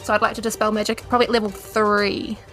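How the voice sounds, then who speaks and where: A woman's voice: mid-range voice, little pitch movement, quick pace, fairly bright tone. A woman chatting casually as a player in a tabletop role-playing game. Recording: music behind the voice. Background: background music.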